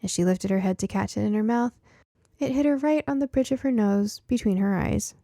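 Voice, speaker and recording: Mellow, creaky-voiced woman narrating. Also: medium pitch, fairly quick, dry recording, studio quality. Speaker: audiobook narrator. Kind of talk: scripted first-person story narration.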